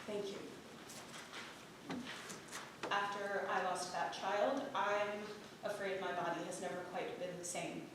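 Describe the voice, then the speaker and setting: A woman playing a frail, quiet, polite Puritan wife who is secretly shrewd, in a staged reading of a period play. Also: mid-range voice, slow pace, long pauses, lightly acted. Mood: subdued, candid. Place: theatre stage, picked up from far off. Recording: very roomy, distant, noisy theatre recording.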